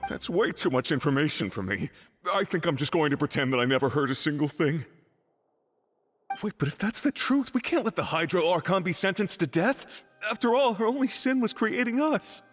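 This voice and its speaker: A man's voice: fairly high pitch, lively intonation, brisk, big-room sound, faint music behind, natural voice. An actor playing a wary, loyal young man in a game, plain-spoken and earnest. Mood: uneasy, protective. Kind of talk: game story dialogue.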